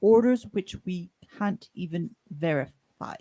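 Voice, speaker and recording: A woman's voice: lowish voice, animated, a little slow, dark tone. Woman as a grave, principled male starship captain. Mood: morally resolute. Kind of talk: amateur podcast radio play reenactment.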